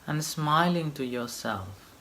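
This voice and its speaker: Male meditation teacher, slow delivery, short phrases, long pauses, light background noise. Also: a little high, animated, sharp tone. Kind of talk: guided meditation, slow gentle instruction.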